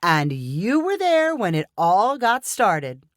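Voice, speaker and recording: Wannabe influencer, played by a female narrator: chatty, breezy, self-promoting, animated intonation for short-story audio. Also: mid-range voice, slow, dry recording, studio quality. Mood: excited, boastful. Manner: breezy, self-assured, boastful.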